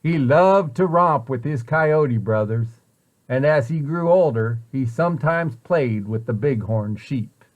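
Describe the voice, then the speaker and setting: A man reading a children's story aloud in an expressive way, with deliberate, dramatic emphasis. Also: medium pitch, muffled recording. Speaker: storybook reader, site director at a children's center.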